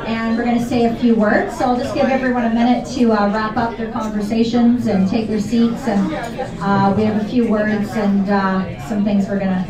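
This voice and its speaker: A woman acting as emcee, making relaxed, filler-heavy announcements to a room. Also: a little high, normal speed. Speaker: event emcee. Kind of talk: casual announcements. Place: large hall at a memorial gathering. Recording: echoey hall, distant, crowd chatter.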